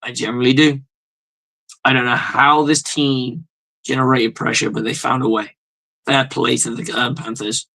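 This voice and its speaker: Hoarse, profane sports-show host. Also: male voice, mid-range voice, flat delivery, moderate pace, sharp tone, low-quality recording. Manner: blunt, profane, rambling fan talk. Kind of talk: casual sports reaction monologue.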